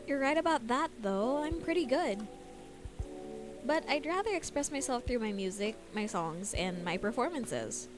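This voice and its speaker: Woman voicing a high-pitched, coy catgirl singer. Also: expressive, normal speed, lightly acted. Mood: self-assured. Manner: coy, teasing. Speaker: singer character in a fan-dubbed visual novel. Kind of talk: fan-dubbed visual novel acting. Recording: voice under loud background music.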